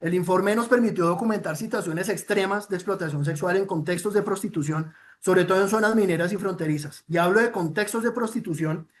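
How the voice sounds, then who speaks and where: A man's voice: high-pitched, a little fast, few pauses. A man with a Colombian accent giving a formal, earnest presentation on a report, with frequent "eh" fillers and restarts. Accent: Colombian Spanish. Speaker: presenter of a child protection report.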